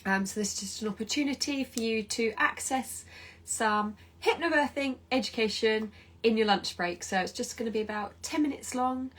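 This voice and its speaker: A woman's voice: a little high, moderate pace. A woman with a British accent, stuffy and nasal from a cold, giving a chatty hypnobirthing lesson with plenty of ums. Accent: British English. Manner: chatty, encouraging, with many ums. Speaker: hypnobirthing educator. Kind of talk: informal online teaching session.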